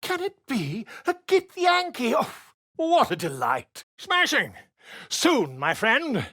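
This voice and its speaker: Pompous, theatrical bard, wildly animated. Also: male voice, very high voice, slow, no room sound, studio quality, heavily acted. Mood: excited, delighted. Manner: pompous, florid, effusive diction. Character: flamboyant, self-important male bard and author, theatrical and excitable. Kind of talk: fantasy video game voice acting.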